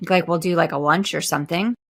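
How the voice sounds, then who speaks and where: A woman's voice: lowish voice, steady tone, fast, vocal fry, slightly rough. Chatty woman in her forties. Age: 40s. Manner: chatty storyteller, laughs often. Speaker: podcast co-host, mother. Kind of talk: casual chat.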